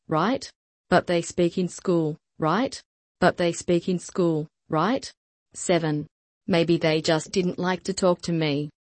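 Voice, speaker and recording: A woman reading short sentences aloud for a repeat-after-me language lesson, slowly and evenly, each one said several times. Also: fairly low pitch, darkish tone, dry recording. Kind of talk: scripted repeat-after-me drill.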